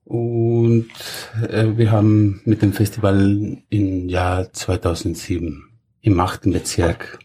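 Deep husky man from Guatemala. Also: little pitch movement, slow, dark tone. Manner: hesitant, with fillers. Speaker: festival director, studio guest. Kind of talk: radio interview. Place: radio studio.

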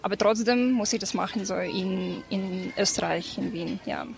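A woman's voice: mid-range voice, normal speed, gravelly. A woman in her twenties from Ukraine with a Ukrainian accent, answering interview questions hesitantly with many fillers. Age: 20s. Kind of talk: casual interview. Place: café.

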